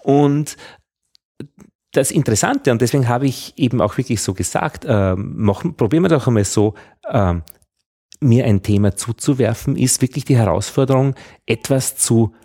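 An Austrian man talks casually, like a radio journalist among colleagues. His voice sits at an average male pitch. He rambles and hesitates as he tells his stories, with a wry touch. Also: warm tone. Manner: halting, rambling storyteller, wry. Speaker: radio journalist hosting a conversation. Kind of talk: casual conversational talk.

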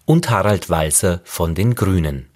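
A man narrating a radio feature in a measured, questioning way. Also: low-pitched, expressive. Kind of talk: scripted narration.

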